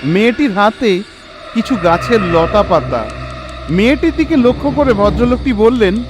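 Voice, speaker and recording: A man narrating a scripted horror story in an expressive, suspenseful, dramatic way. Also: high-pitched, normal speed, over music.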